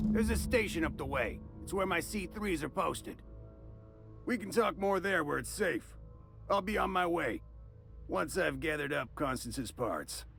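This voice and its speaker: Folksy, gravelly game mercenary; drawling, wry. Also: male voice, fairly high pitch, a bit bright. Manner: drawling, folksy, dry humor.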